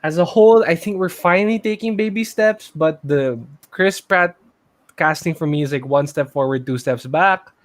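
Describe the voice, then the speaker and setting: A man's voice: fairly high pitch, normal speed. A man co-hosting a podcast, giving exclamatory reactions and opinions in casual banter. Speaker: podcast co-host.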